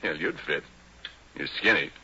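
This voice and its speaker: Deep-voiced, husky old-radio oil-rig worker. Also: male voice, animated, measured pace, bright, forceful tone, full character voice. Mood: easygoing. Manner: folksy, colloquial, plain-spoken. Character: Porky, folksy easygoing oil-rig worker telling his tale. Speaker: narrator and lead character. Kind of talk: 1940s radio drama acting, conversational storytelling. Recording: muffled old radio recording.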